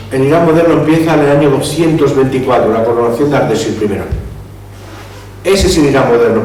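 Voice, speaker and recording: A man's voice: fairly high pitch, a little mellow. A man gives a public history lecture. He talks discursively, restarts words and asks rhetorical questions. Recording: echoey hall, distant mic, faint hum.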